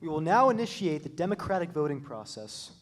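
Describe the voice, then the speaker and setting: A man's voice: a little high, very animated, a little fast, crowd noise. A teenage boy playing a strict school dean who runs an assembly in a formal, authoritative manner. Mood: stern. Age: late teens. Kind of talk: staged musical reading.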